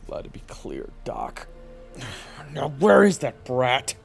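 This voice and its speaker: A man's voice: mid-range voice, huge pitch swings, slow, gravelly, soft background music, heavily acted. A man playing a bitter, foul-mouthed investigator weighed down by guilt in an audio drama. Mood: groggy, irritated. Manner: swearing, bitter, blunt. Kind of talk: dramatic audio roleplay acting.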